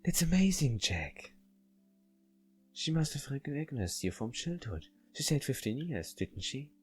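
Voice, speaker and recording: Supportive but insistent woman friend, acted by a man, lifted pitch, a little husky, visual-novel dialogue. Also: big pitch swings, unhurried, a little mellow, soft background music. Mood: coaxing. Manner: earnest, coaxing. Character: caring, insistent female friend, gently coaxing.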